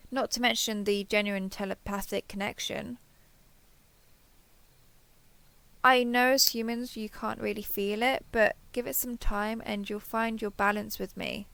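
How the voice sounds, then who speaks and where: A woman's voice: on the high side, vocal fry, dry recording. A woman playing a sheltered young female alien, naive and obsessively devoted, talking earnestly and intimately to the listener in an audio roleplay. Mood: smitten, insistent. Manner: earnest, wheedling, over-explaining.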